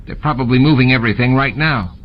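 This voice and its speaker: A man voicing an excitable, scheming young alien boy in an audiobook, eager and emphatic. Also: mid-range voice, fast talker, voice pushed up, lightly acted. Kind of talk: audiobook character voice. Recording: muffled, with clear background noise.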